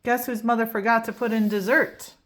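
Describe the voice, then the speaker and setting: A woman's voice: a little high, flat delivery, brisk. A woman reading a children's picture book aloud expressively, drawing out key words for emphasis. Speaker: storybook reader.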